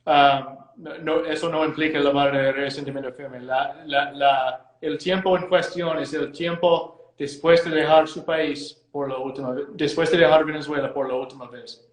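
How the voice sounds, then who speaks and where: An American man with English as his first language speaks Spanish with care, often halting and repeating himself as he explains legal points. His voice sits a bit high for a man. The sound carries an echoey room. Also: steady tone. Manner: hesitant, repeats words, careful phrasing. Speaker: immigration lawyer, guest. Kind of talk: live interview, explaining law.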